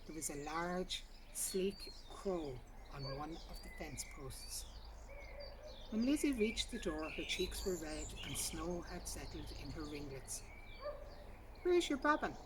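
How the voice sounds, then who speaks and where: Woman storyteller amid loud birdsong. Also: sits low, lively intonation, measured pace, noisy background. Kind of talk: literary short story narration read aloud. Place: outdoors at the bottom of a garden. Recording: distant mic, loud outdoor birdsong.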